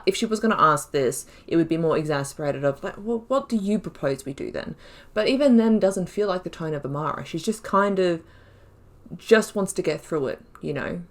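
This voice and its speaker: A woman hosting a gaming video, thinking aloud in casual, informal, wry commentary as she talks through the story. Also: on the low side.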